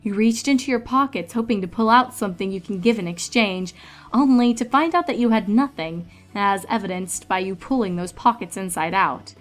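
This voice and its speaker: A woman narrator reading a story aloud in a scripted, dramatic storytelling style. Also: medium pitch.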